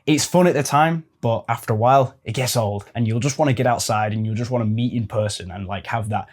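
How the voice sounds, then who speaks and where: Twenties male host, chatty and informal, plain mid-pitched voice. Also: brisk. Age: 20s. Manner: chatty, informal with swearing and "like" fillers. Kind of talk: casual advice talk to camera.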